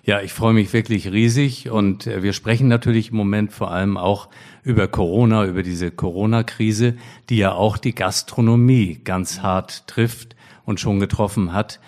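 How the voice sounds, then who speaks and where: A middle-aged man hosts a podcast, his voice sitting very low. He reads his intro in a measured, formal way before turning to his guest. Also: steady tone, a little mellow. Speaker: podcast host, hospital director. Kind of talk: scripted podcast intro, then interview.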